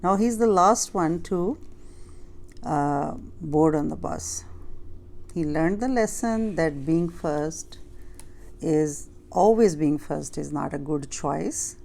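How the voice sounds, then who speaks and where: A woman's voice: low voice, slow, vocal fry, dark tone. A woman reading a picture book aloud to children, adding simple explanatory asides as she goes. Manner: simple explaining, addressing young listeners.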